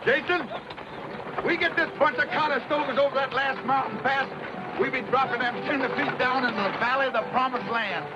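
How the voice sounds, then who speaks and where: An actor playing a folksy, plain-spoken frontier trapper in an American Western, with a rustic backwoods drawl. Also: male voice, very high-pitched, normal speed. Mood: admiring, jovial. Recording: dull old recording with clear background noise.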